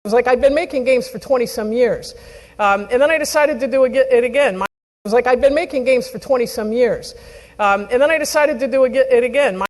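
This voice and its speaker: A woman in an interview clip, retelling something in an animated, loud way. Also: a little high, fairly quick, big-room sound, rough recording.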